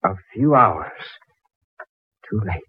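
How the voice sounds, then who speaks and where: An actor playing a despairing, lovesick, weary young inventor with halting delivery, in 1940s radio drama style. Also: male voice, lowish voice, lively intonation, slightly rough, narrow, tinny audio, exaggerated voice. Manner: halting, heavy-hearted.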